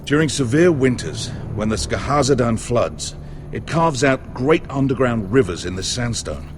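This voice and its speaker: An actor playing an anxious, bookish middle-aged uncle in a video game drama, articulate and dryly pedantic. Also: male voice, mid-range voice, big pitch swings, normal speed, a little hiss or hum, lightly acted. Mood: earnest, explaining. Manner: dry, articulate, faintly pedantic.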